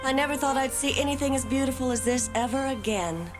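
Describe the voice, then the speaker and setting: A woman's voice: high voice, quick pace, music in the background, lightly acted. A woman playing a proud, resolute young officer in a dubbed sci-fi cartoon, formal and earnest in manner. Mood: gentle. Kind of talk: dramatic animated science fiction dub acting.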